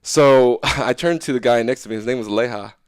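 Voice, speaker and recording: A young man talking casually as an interview guest, animated and loose, often checking in with "right?". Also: medium pitch, brisk, clear, resonant tone, no room sound.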